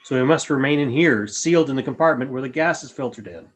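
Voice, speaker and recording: A man playing a calm, grave alien creature in a radio play, with a formal, measured way of speaking. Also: mid-range voice, lightly acted. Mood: calm, guarded. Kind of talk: fan radio play acting.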